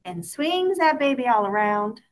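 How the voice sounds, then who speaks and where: A woman reads a children's story aloud expressively, in a sing-song way with drawn-out key words. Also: on the high side, very animated. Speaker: picture book reader.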